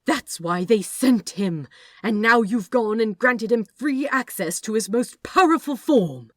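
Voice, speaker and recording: A woman's voice: a little high, lively intonation, measured pace, no echo, studio quality, pitched above the performer's own voice. Woman voicing a commanding, fussy male angel. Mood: furious, commanding. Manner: commanding, snapping, emphatic. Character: fierce, bluffing male angel, fussy but caring.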